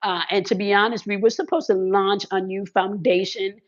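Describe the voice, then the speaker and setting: A hoarse woman speaks casually to her followers about spiritual practice, her voice crackling from congested sinuses. She keeps checking in with quick "okay"s and "right"s. Her voice sits at an ordinary pitch, and the recording sounds a little dull and lo-fi. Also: fairly even intonation. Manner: repeats "okay" and "right" as check-ins, uses fillers. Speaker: spiritual content creator. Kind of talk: casual spiritual talk to viewers.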